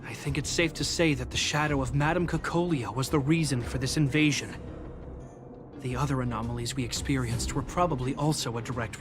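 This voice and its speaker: An actor playing an earnest, dutiful young guard captain in a video game, composed and formal. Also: male voice, mid-range voice, lightly acted. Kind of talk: video game character dialogue. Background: game music.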